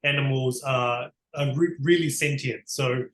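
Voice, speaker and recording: A man's voice: medium pitch, steady tone, unhurried, fairly bright tone, reverberant. A man with a New Zealand accent, answering interview questions in an earnest, explanatory way with frequent restarts. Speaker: barrister, interview guest. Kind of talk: conversational interview.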